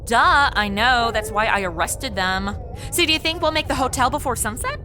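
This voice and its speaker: A woman's voice: fairly high pitch, lively intonation, moderate pace, music in the background, lightly acted. A woman playing a young modern policewoman who complains sarcastically in a comedy audio drama. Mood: eager, impatient.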